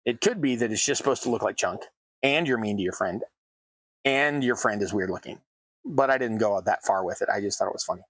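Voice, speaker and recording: A man's voice: on the low side, a little fast, a bit bright, dry recording, poor audio. An American man chatting casually to his stream audience while building tabletop terrain, rambling and self-deprecating. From United States. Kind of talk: casual chat while crafting. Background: sounds of cutting at a worktable.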